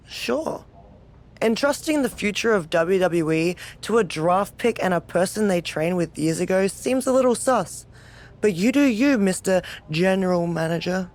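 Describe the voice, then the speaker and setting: A woman's voice: fairly low pitch, normal speed, darkish tone, lightly acted. A woman playing a cocky, dominant, sardonic wrestler who relishes chaos in a video game cutscene. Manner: sardonic, self-assured. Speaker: pro wrestler character.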